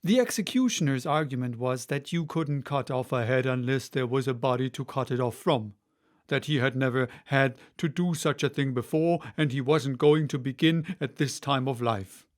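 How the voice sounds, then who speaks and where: A man's voice: medium pitch, normal speed. A man narrating a children's story, reading aloud as an audiobook narrator.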